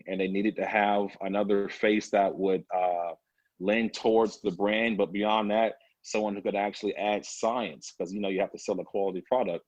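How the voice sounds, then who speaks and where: A man in his thirties speaks as an interview guest, telling his story in a relaxed way with plenty of fillers. His voice sits low and is recorded dry, with no room sound. The audio is slightly dull and lo-fi. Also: no echo. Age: 30s. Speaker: guest, personal trainer. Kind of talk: casual interview talk.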